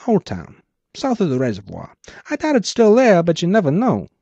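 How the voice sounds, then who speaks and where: A man voicing a gruff, growling old bar owner who smokes cigars, in a noir story reading. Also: high-pitched, huge pitch swings, moderate pace, dark tone, no room sound, dull audio, pitched above the performer's own voice, exaggerated voice.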